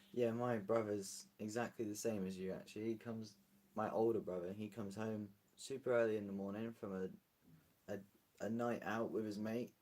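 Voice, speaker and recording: A British man streaming live, chatting casually and rambling as he answers viewer comments. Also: lowish voice. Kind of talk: casual livestream chat.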